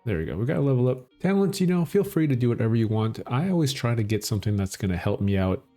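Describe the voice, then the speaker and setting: A middle-aged man with a Minnesota accent, explaining a game in a relaxed, easygoing way. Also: mid-range voice, fast talker, faint music behind. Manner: relaxed, explanatory, chuckles often. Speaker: gaming channel host. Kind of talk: casual gameplay commentary.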